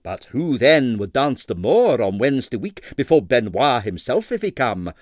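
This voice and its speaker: A man voicing a dry, glib police inspector with measured questioning, in an audiobook. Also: medium pitch, very animated, dry recording. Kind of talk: audiobook character voice.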